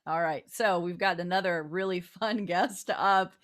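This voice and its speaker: A woman co-hosting a talk show in lively, casual chat with a bubbly, gushing manner. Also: fairly low pitch, measured pace.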